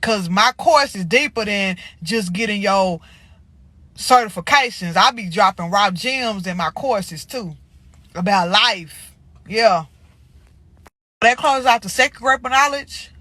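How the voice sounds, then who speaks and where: Congested woman, bright sharp voice, cussing and calling everybody y'all, dry sound. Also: mid-range voice, a little slow, no echo. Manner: blunt, profane, slangy, addresses viewers as y'all. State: congested from allergies. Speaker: spiritual channel host. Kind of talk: casual spiritual talk to viewers.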